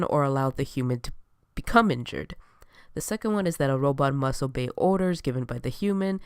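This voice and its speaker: A book vlogger with a stuffy nose from a cold, casually reviewing a novel in a rambling, hesitant way with lots of fillers and clear enthusiasm. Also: medium pitch, no echo, studio quality.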